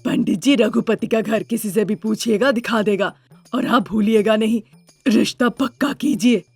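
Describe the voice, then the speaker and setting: A woman's voice: on the high side, normal speed, a little mellow, breathy edge, no echo, faint music behind. A woman voicing a greedy, cruel middle-aged mother-in-law in a cartoon story, scolding and conniving. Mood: eager. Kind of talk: Hindi cartoon story dubbing.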